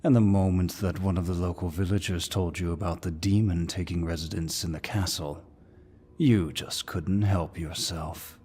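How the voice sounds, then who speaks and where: Casting: male actor for a suave, dominant demon villain, very deep and husky, mocking delivery for roleplay audio. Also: steady tone, warm tone. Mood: silky, intrigued. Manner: slow, mocking, mock-courteous, theatrical. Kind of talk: ASMR roleplay, scripted villain monologue.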